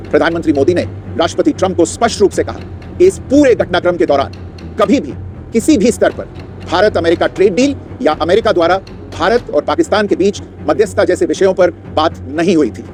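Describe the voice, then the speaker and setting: A man reading a prepared official statement in a formal, deliberate, emphatic manner. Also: on the high side, a little mellow.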